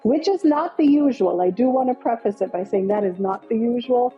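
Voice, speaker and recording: A woman's voice: fairly high pitch, expressive, brisk, faint music behind. Upbeat woman director, dull lo-fi sound. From Nepal. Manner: upbeat, enthusiastic, filler-heavy. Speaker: film director, guest. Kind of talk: casual interview.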